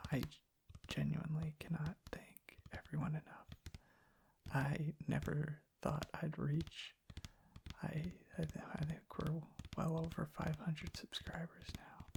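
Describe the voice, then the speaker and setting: Slow, creaky, half-whispering soft-spoken man. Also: a little high, a little hiss or hum. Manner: hushed, halting, pausing mid-sentence. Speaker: ASMR content creator. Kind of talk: soft-spoken ASMR ramble. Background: soft tapping and clicking.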